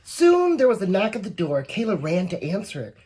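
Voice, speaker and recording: A woman reading a picture book aloud to young children, expressive and encouraging, with asides to the listeners. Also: medium pitch, brisk, slightly rough. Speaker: volunteer story reader.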